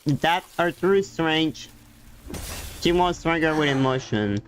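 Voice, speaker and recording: A woman's voice: low voice, expressive, takes it slowly, slight background noise. A woman streaming a video game, giving casual reaction commentary in short remarks as she plays. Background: game dialogue and music.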